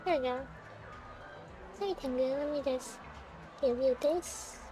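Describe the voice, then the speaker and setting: A woman's voice: sits high, a little slow, music in the background. A woman live streamer chatting casually with her viewers as she plays a game, in a playful, giggly, teasing way.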